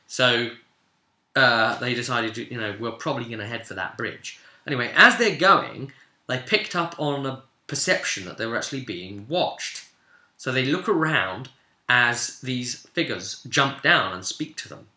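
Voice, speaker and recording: A man's voice: mid-range voice, pushed and bright. A British man recapping a tabletop role-playing session as game master, telling the story informally and explaining things with frequent asides. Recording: echoey room.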